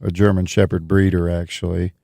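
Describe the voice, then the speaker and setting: A man's voice: sits low, little pitch movement, brisk, gravelly, mellow, no echo. An American man, a guest comedian, chatting casually in a studio with laid-back, dry humor.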